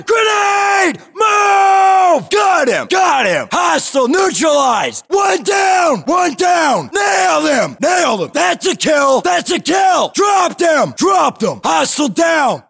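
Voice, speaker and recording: A shouting Marine in combat, high-pitched. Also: male voice, creaky, exaggerated voice. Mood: alarmed, then triumphant, aggressive. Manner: clipped, barked combat callouts. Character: US Marine recon soldier, tough and urgent in combat. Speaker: video game voice actor. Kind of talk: military shooter battle chatter.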